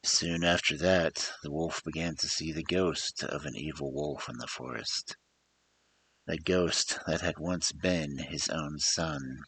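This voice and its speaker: A man's voice: rumbling low, fairly even intonation, slow, fairly bright tone, husky. A man reading a story aloud as a storyteller, in a theatrical, emphatic storybook manner. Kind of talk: dramatic scripted story narration.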